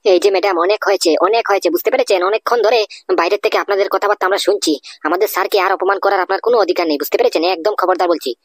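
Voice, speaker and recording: A woman's voice: medium pitch, rapid-fire, no echo, clean studio sound, natural voice. A woman voicing an indignant, fiercely loyal cartoon employee, insistent and confrontational, full of tag questions. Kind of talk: amateur cartoon dialogue.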